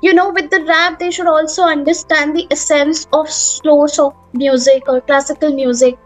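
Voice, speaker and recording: A woman from Mumbai with an Indian English accent and a soft voice, answering interview questions hesitantly with many fillers over a video call. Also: high-pitched, steady tone, moderate pace. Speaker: guest, teacher. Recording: dull lo-fi sound with faint music.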